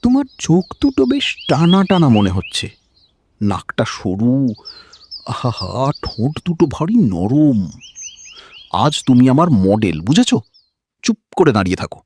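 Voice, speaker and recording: A man playing a bashful, earnest young man in love, tender and curious, in an animated romantic ghost story. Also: mid-range voice, moderate pace, long pauses, a little hiss or hum. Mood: warm, playful.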